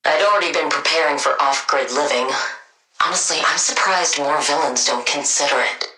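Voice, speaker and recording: Low-voiced haughty supervillain scientist. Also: female voice, normal speed, reverberant, poor audio. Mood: measured. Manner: deadpan, sardonic, precise scientific wording. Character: brilliant, haughty female scientist supervillain, dry and impatient. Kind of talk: video game voice acting.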